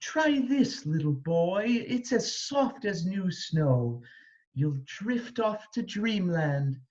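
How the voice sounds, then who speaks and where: Storybook peasant, played by a man: coaxing, eager, raised and lilting pitch. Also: very animated, takes it slowly, a little mellow, muffled recording, exaggerated voice.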